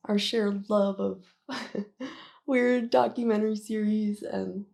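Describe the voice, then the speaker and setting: Woman acting a halting, shy young coworker, creaky voice. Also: mid-range voice, a little mellow. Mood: nervous, pleading. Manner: nervous, halting, rambling, giggly, self-deprecating. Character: shy, flustered young woman office worker confessing a crush. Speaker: ASMR roleplay performer. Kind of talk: intimate ASMR roleplay monologue.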